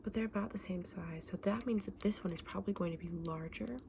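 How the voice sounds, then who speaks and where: A woman doing a soft-spoken, often whispered ASMR roleplay as a gentle, chatty shopkeeper, explaining her wares informally and without hurry. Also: mid-range voice, fast, vocal fry, background noise. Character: gentle, chatty woman selling dragon eggs.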